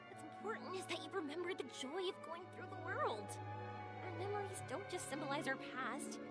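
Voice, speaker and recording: A woman voicing an upbeat, encouraging young woman adventurer in a video game, with an animated, earnest delivery. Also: sits high. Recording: game music behind the voice.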